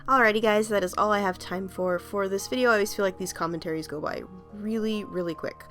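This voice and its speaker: A woman's voice: mid-range voice, fairly even intonation, fast, a bit bright, soft background music. A woman hosting her art video, talking in a casual, rambling voice-over with many ums and small laughs, her voice still slightly scratchy from a cold.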